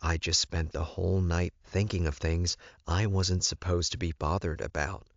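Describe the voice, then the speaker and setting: A man's voice: low voice, steady tone, moderate pace, dry recording, dull audio. A man narrating a first-person story as a scripted audiobook.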